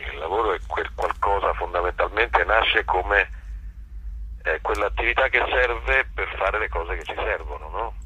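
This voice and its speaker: A man with a cold, explaining reflectively and haltingly as an interview guest. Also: low voice, sharp tone, husky, poor audio. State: has a cold. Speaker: author and actor guest.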